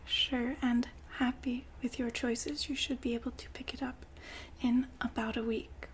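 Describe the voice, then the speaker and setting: A woman whispering softly and politely in an ASMR customer-service roleplay, breathy and gently hesitant. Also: a little high, little pitch movement, slight background noise. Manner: soft-spoken, polite, unhurried, gently hesitant. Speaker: ASMR roleplay performer as a shop consultant.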